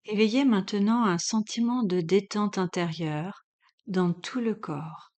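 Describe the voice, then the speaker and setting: A woman leading a guided yoga relaxation as an instructor, speaking calmly and in measured instructions with long pauses. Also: medium pitch.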